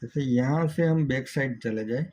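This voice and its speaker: A man's voice: mid-range voice, normal speed. A man with an Indian accent giving a hands-on technical tutorial as a repair instructor, explaining step by step and often checking in with the learner. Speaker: auto electrical repair instructor. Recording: dull lo-fi sound, faint background noise.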